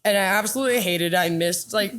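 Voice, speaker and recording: A chatty woman from Washington State talks casually as a podcast guest. Her voice sits at a middle pitch, and laughter often slips into her speech. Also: fast, a bit bright. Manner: chatty, laughs while talking. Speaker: bartender guest. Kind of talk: casual chat.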